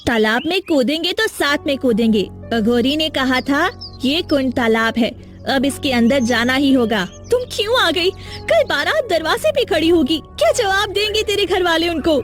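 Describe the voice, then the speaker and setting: A woman's voice: high-pitched, animated, normal speed, faint music behind. A woman voicing an anxious, determined young village woman in a cartoon, earnest and dramatic.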